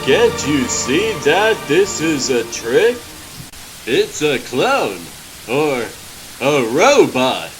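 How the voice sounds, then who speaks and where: A man voicing a brash, excitable male tabloid reporter chasing a scoop in a comic book dub. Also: on the high side, very slow, music in the background, heavily acted, poor audio. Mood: indignant. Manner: loud, excitable.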